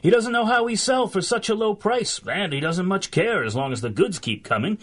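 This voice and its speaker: A man's voice: high-pitched, animated, a little fast, a bit bright. An actor playing an eager young con-man sidekick in a 1930s-style radio drama.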